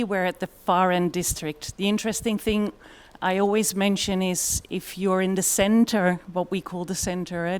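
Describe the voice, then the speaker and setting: A woman's voice: on the low side, clean tone. A woman with a Dutch accent giving a conference presentation in a thoughtful way, with frequent fillers. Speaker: conference speaker.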